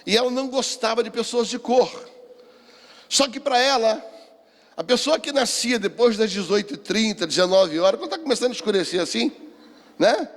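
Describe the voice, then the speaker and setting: High-pitched older Brazilian man preaching, echoing hall. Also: lively intonation, brisk. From Rio de Janeiro, Brazil. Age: 60s. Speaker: pastor, seminar speaker. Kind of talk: sermon with personal testimony. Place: church hall, speaking to a seminar. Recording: reverberant hall.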